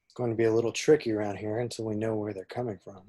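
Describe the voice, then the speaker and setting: A man's voice: fairly low pitch, fairly even intonation, racing, dark tone, dry recording, studio quality, close to an everyday voice. A man playing a cocky, wry smuggler hero in an amateur table read, with offhand, clipped slang. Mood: wry, guarded.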